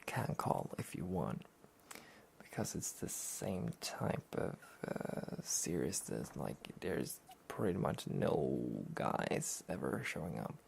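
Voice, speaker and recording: A soft-spoken man hosting a relaxed review show, often near a whisper, rambling and hesitant in an understated way. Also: deep voice, slow pace, gravelly, a little hiss or hum. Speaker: host of a soft-spoken anime talk show.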